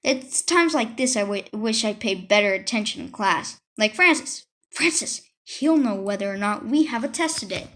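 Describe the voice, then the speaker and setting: A woman reading a children's book aloud in an expressive, conversational storytelling style with asides. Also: medium pitch, normal speed, few pauses. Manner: conversational first-person delivery with asides. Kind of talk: expressive read-aloud narration.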